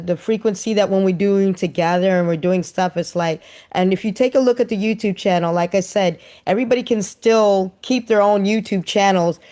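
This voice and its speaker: A woman's voice: on the low side, mellow, clean tone. A woman co-hosting in casual chat, rambling and earnest, with many fillers. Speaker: co-host and project organizer.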